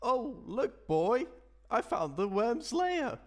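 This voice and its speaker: A man performs a timid, silly sidekick in a puppet musical. The voice is high and fretful, with a naive air, ringing in a long hall reverb. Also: sing-song, slow, full character voice. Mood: naive cheer. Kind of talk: comic puppet show stage acting.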